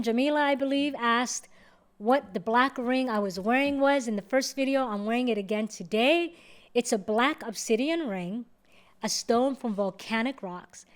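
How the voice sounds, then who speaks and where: Higher-voiced woman explaining current affairs. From Somalia. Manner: measured, explains step by step. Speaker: host answering viewer questions. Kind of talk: explanatory talk to camera.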